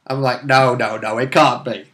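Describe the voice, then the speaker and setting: A man's voice: mid-range voice, fairly even intonation, normal speed, fairly bright tone. Informal man rambling about tabletop gaming. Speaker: player in a tabletop role-playing game. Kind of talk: casual chat about game rules and play.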